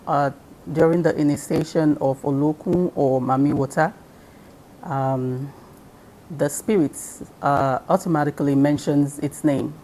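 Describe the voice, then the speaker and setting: A woman from Nigeria speaks as an interview guest, explaining patiently in a measured, unhurried way that is full of ums and uhs. Her voice sits quite low for a woman, with a faint hiss of noise behind it. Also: steady tone, mellow, rough recording. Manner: slow and measured, with frequent um and uh fillers. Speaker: interview guest, spiritual practitioner.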